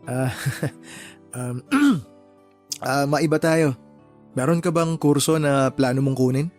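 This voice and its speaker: Mid-pitched, kind, modest uncle. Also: male voice, expressive, slow pace, darkish tone, soft background music. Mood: encouraging. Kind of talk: Tagalog radio drama dialogue.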